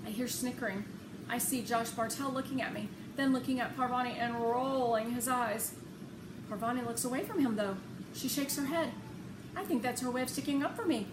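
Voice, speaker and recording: A woman reads a children's novel aloud with expressive narration. Her voice sits fairly high. The recording has a clear room echo and noticeable background noise. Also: fairly quick.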